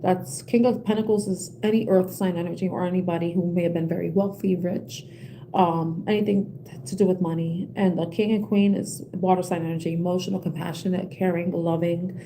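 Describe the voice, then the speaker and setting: A young woman giving a collective tarot reading with a soothing voice, talking gently and rambling with many fillers and restarts. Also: lowish voice, fairly even intonation, a little fast, slight background noise, low-quality recording. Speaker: tarot reader.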